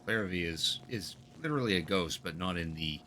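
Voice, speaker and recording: A man's voice: sits low, lively intonation, fairly quick, sharp tone, slight background noise. A man giving casual live commentary as he streams a video game.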